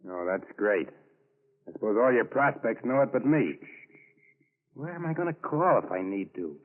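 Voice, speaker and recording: An actor playing a grumbling, sarcastic safecracker's accomplice in a 1940s radio crime drama, on a tinny old recording. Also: male voice, medium pitch, big pitch swings, moderate pace, close to an everyday voice.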